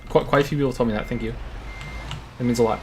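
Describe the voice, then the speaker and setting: Halting man chatting on a stream. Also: mid-range voice, steady tone, background noise. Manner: halting, repeats words while thinking; plays a driving game while talking. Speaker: streamer answering viewer questions. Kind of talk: casual live-stream chat.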